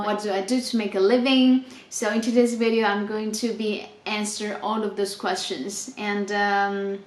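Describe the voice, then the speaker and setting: Woman, 20s, native Chinese speaker from China, easygoing vlog Q&A in a roomy space. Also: medium pitch. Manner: chatty, reads questions aloud then answers with laughs. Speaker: travel vlogger. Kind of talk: casual unscripted Q&A.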